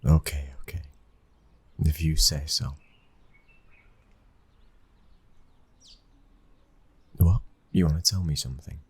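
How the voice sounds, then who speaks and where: A man with a British accent playing a possessive young mafia boss's son, speaking in a low, intimate, commanding murmur for a boyfriend ASMR roleplay. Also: moderate pace, husky, dry recording, exaggerated voice. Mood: firm, protective.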